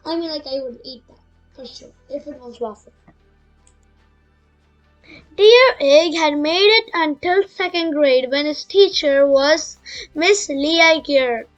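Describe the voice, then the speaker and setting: A high female-sounding voice reads a picture book aloud slowly and a little haltingly. The reader is chatty and casual, with music playing behind. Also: muffled recording. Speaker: young story reader. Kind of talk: children's read-aloud with casual asides.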